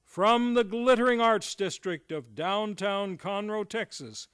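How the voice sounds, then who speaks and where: A man's voice: sits high, measured pace, fairly bright tone, smooth. A man working as a radio show announcer, reading scripted credits in a formal, polished old-time radio style. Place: live on a theater stage.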